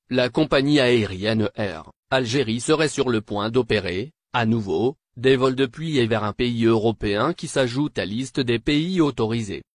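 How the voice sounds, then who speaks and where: A man's voice: medium pitch, dry recording. Man reading news, dry close recording. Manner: formal, reading written copy. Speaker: news narrator. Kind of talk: scripted news narration.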